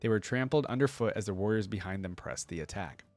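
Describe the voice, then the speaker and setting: A man's voice: lowish voice, expressive, fast talker, darkish tone, no room sound, faint music behind. A man hosting a reaction video, reading a story aloud and commenting in a casual, slangy way.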